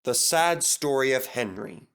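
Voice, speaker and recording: A man's voice: medium pitch, lively intonation, a little slow, a bit bright, smooth. A man reading a children's storybook aloud as scripted narration. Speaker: storybook reader.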